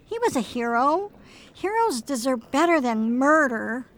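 A woman playing a calm, earnest female android partner in an audio drama, curious about humans, speaking in a measured way. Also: sits high, full character voice. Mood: indignant, grieving.

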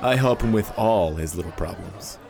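A man's voice: low-pitched, expressive, moderate pace, vocal fry, darkish tone. A man playing a senator's scheming, sinister henchman in a radio drama, with a probing, menacing manner. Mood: sly. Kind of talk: science fiction radio drama acting. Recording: busy crowd and city noise behind.

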